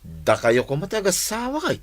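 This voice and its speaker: An actor with an Ilocano Filipino accent playing an inquisitive, familiar young village buddy in a radio drama. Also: male voice, fairly high pitch, huge pitch swings, moderate pace, dry recording. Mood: questioning, surprised.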